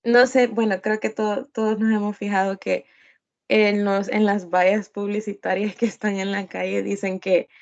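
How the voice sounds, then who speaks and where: A woman's voice: mid-range voice, little pitch movement, normal speed, a little mellow. A young woman, a student hesitantly asking a question in class. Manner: hesitant, many fillers and restarts. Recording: dull lo-fi audio.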